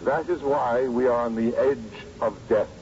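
US president voiced by a male actor, oratorical and solemn, mellow mid-range, muffled vintage radio sound. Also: medium pitch, close to an everyday voice. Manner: oratorical, deliberate, grave. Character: solemn male American president addressing a doomed nation. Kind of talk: formal broadcast address in a radio drama. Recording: dull, noisy old radio recording.

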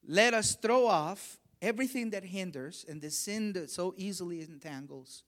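A middle-aged man from Honduras preaching a sermon, measured and emphatic, with quieter stretches for personal stories. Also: sits high. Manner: measured preaching, rising emphasis on key phrases. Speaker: guest preacher.

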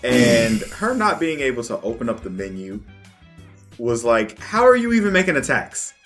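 A man's voice: medium pitch, big pitch swings, normal speed, a bit bright, soft background music. A man co-hosting a podcast, chatting casually and chiming in with short reactions. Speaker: podcast co-host.

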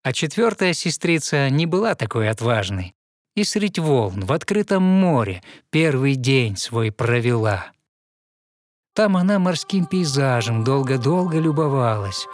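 A man narrating a fairy tale in verse, with measured, rhythmic storytelling. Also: mid-range voice, very animated.

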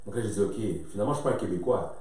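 Animated man, echoey room. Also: on the low side, rapid-fire. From Quebec, Canada. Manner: animated, rambling, checks in with "tu comprends?". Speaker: basketball coach, guest. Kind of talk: casual storytelling chat. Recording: very echoey room, distant mic.

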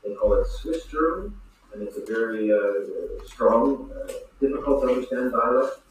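A man's voice: mid-range voice, lively intonation, normal speed, a little hiss or hum. Hesitant man on a distant echoey call. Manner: hesitant, many fillers and restarts. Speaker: hockey referee guest. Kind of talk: casual interview answers.

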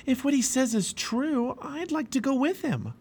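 An eager, easily swayed young storybook boy, as a man puts him on. The voice is pushed far up high and swings excitably from note to note. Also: normal speed, slightly rough, heavily acted. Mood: eager wonder. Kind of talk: children's storybook character voice.

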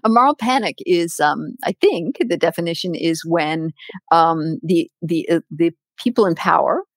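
A woman's voice: on the low side, moderate pace, no echo. Hesitant, thoughtful female co-host explaining. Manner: thoughtful, hesitant, many ums. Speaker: podcast co-host and lecturer. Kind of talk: casual explaining.

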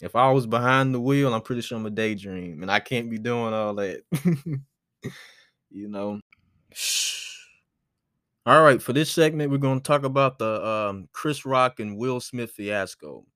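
A twenty-year-old man hosting his solo podcast, rambling in a relaxed way with lots of fillers. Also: mid-range voice, a little slow, pauses often, no room sound, clean studio sound. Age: 20s. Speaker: podcast host.

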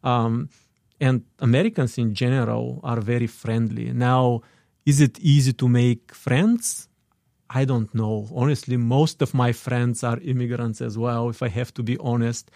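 A man's voice: mid-range voice, fairly even intonation, unhurried, vocal fry, mellow, no echo, clean studio sound. A middle-aged man from Bulgaria with a Bulgarian accent, a guest in a radio interview, hesitant and full of fillers and restarts.

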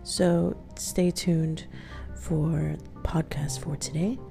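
A woman hosting her own podcast, raspy from having just woken up, telling a story in a soft, often half-whispered way with many hesitations. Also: low voice, level tone, extremely slow, over music. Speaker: podcast host. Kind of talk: casual solo storytelling.

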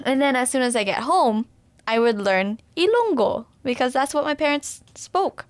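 A woman chatting casually and agreeably, quick to laugh and chime in. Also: sits high, lively intonation, dry recording. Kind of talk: casual chat.

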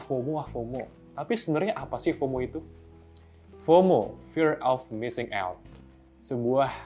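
A man's voice: mid-range voice, darkish tone, muffled recording. A man hosting a casual explainer, talking loosely and putting on short quoted asides. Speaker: host. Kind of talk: explainer narration.

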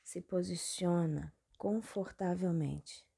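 A woman with a Brazilian accent hosting a guided meditation, giving calm instructions in short phrases with long pauses between them. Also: on the low side, creaky, breathy edge. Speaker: guided meditation podcast host. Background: meditation music.

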